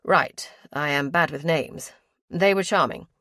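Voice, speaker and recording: A woman's voice: low voice, unhurried, fairly bright tone, dry recording. A woman voicing a reserved, wry young nobleman with a dry, polite manner.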